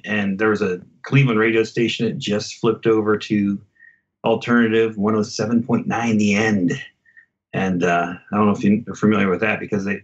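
A middle-aged American man with a fairly low voice speaks as a podcast guest. He rambles conversationally, in a relaxed chat format. The recording is slightly dull and lo-fi. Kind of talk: casual chat, reminiscing.

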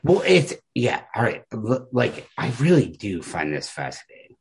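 A man with a rough, fry-laden voice talks through literature as a podcast co-host. His delivery is animated in pitch and earnest, casual and slangy as he presses each point. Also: normal speed. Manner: earnest, emphatic, informal slangy talk.

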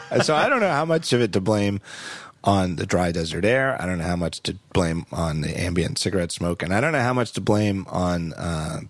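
A slightly hoarse man hosting a podcast in casual chat, rambling with frequent restarts and self-corrections. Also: sits low, dry recording. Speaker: podcast host.

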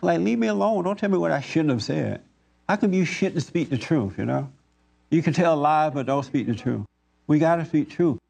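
A man's voice: fairly high pitch, expressive, normal speed, creaky, darkish tone. A man hosting an informal preaching discussion, speaking in a folksy, plainspoken way with loose grammar. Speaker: host leading a group discussion. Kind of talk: informal preaching talk with audience questions.

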